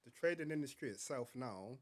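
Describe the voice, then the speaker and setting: A man, his voice a little croaky from illness, at a middle pitch. He talks through his business at an even pace, leaning on fillers like "kind of" and "you know.". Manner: measured explanations with fillers. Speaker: podcast guest, entrepreneur. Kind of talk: casual podcast interview.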